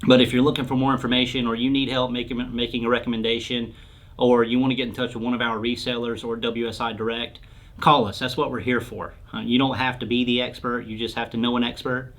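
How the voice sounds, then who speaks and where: A man speaks at a middling pitch in an informal interview. He explains things plainly and practically, as a product specialist answering a customer's basic questions. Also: little pitch movement, quick pace, a bit bright. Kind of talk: casual explanatory interview talk.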